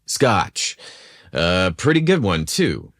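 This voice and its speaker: A man voicing a rugged, charming, slightly shy construction manager who flirts softly and hesitantly in a romantic audio story. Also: low voice, sing-song, takes it slowly, no echo, clean studio sound, heavily acted. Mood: casual, flirty. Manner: hesitant, soft-spoken flirting.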